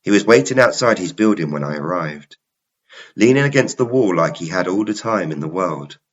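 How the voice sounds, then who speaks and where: A man with a very low voice narrates a first-person story. His delivery is measured and dramatic, like a private confession being read aloud. The recording sounds slightly dull and lo-fi. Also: expressive.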